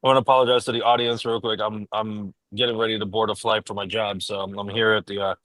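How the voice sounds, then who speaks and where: A man's voice: lowish voice, level tone, quick pace, a bit bright, no room sound, rough recording. A man co-hosting a casual chat show over a video call, talking in a loose, informal way. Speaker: podcast co-host. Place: busy airport lounge.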